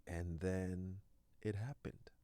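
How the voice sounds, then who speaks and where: A man's voice: low voice, fairly even intonation, slow, dark tone, dry recording. A man from Canada whose first language is French, a guest actor speaking earnestly in an interview, often repeating words as he searches for them. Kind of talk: reflective interview talk.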